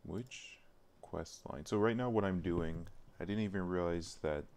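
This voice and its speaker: A man's voice: deep voice, unhurried, darkish tone. A man livestreaming casually as he works, muttering to himself and thinking aloud. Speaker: streamer. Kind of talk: casual livestream chat while working.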